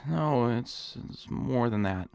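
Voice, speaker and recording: Whiny, creaky-voiced henpecked nebbish. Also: male voice, mid-range voice, lively intonation, slow, darkish tone. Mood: groggy self-pity. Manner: whining, stammering, apologetic. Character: whiny, henpecked, nebbish young man, timid and put-upon. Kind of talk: comic radio drama acting.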